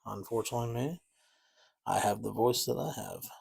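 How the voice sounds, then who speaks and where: A man's voice: medium pitch, moderate pace, creaky, a little mellow, slightly rough. A man chatting casually and rambling a bit as he paints miniatures, easygoing and self-deprecating. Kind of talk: casual chat while painting.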